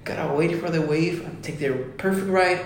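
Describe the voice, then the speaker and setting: A young man from Ecuador, a musician guest chatting casually on a podcast, excited and saying "dude" often. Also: a little high, normal speed, slight background noise. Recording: very echoey room.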